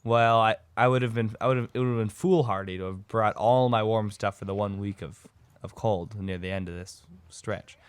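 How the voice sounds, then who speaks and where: A man's voice: lowish voice, steady tone, moderate pace, smooth, no echo. A young man co-hosting a podcast, chatting casually and rambling as he corrects himself mid-sentence. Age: young adult. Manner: rambling, self-correcting, jokey. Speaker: podcast co-host. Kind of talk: casual chat.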